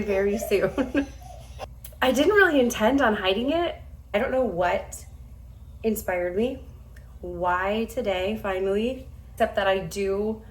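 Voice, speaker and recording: A woman in her thirties with a slightly hoarse voice, chatting casually to camera at home, self-deprecating and quick to laugh. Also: on the high side, rough recording. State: hoarse, recovering from losing her voice. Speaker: vlogger. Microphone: camera a few steps away.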